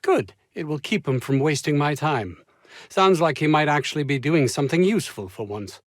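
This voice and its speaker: An actor playing a pompous, ambitious, anxious research scientist in a video game, speaking with haughty, fussy, old-fashioned formal diction. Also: male voice, fairly high pitch, expressive, normal speed, no echo, studio quality, close to an everyday voice. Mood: disdainful, irritated. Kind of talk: theatrical game dialogue.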